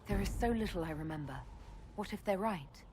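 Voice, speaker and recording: A woman playing a composed, wry young elven leader in a video game, speaking in a measured way with a husky voice. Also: mid-range voice, lively intonation, darkish tone, slight background noise, close to an everyday voice. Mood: serious, composed. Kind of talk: video game cutscene acting.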